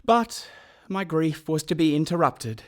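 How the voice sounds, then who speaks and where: An expressive, fairly high-voiced Australian narrator recalls an orphaned boyhood. The delivery is wry and solemn, in the literary manner of a period radio drama. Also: male voice, measured pace. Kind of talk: first-person scripted radio play narration.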